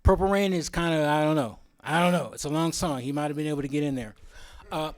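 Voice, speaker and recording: A man's voice: fairly high pitch, fast. A man presenting at a symposium in an informal, opinionated way, often wandering into asides.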